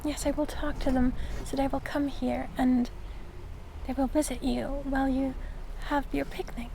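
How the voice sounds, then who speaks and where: A woman voicing a gentle, curious fae in an ASMR fantasy roleplay, soft-spoken and often slipping into whispers, hesitant and kindly. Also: high-pitched, little pitch movement, normal speed. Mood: kindly, helpful. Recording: clear background noise of forest ambience.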